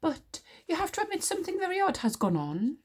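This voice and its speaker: A woman's voice: extremely high pitch, huge pitch swings, fairly quick, pitched above the performer's own voice, exaggerated voice. A woman voicing a flustered, pompous man who hunts witches, spluttering and babbling as he talks.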